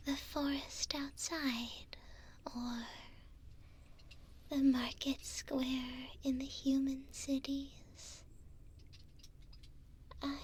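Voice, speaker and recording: A woman playing a gentle, hopeful imprisoned elf in an ASMR roleplay, speaking tenderly in a soft, breathy whisper close to the mic with pauses between short phrases. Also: high voice, a little hiss or hum. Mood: wistful, dreamy. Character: gentle, hopeful female elf prisoner in a dungeon. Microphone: close binaural microphone.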